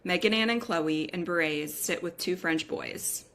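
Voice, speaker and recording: A woman's voice: fairly low pitch, unhurried, fairly bright tone. A woman narrating screenplay stage directions at a scripted table read.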